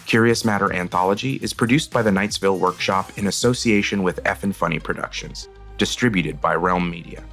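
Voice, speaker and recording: Low-voiced man reading show credits. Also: brisk, hardly any pauses, fairly bright tone. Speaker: host, director. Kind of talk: scripted closing credits narration. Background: background music.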